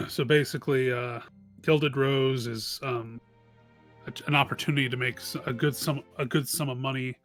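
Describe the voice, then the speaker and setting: A man's voice: mid-range voice, fairly even intonation, moderate pace, a bit bright, faint music behind. A man playing a tabletop roleplaying game, chatting casually and thinking aloud with frequent stumbles.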